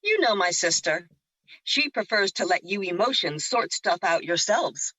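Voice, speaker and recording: Playful celestial sister, husky bright voice, wry casual delivery, slightly dull dry recording, audio drama. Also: female voice, mid-range voice, sing-song, a little slow, no room sound, heavily acted. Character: Luna, breezy teasing female celestial sister.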